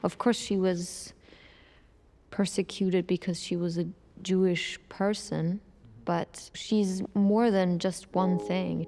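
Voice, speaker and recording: Slow, low-pitched woman in conversation. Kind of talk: hesitant reflective conversation.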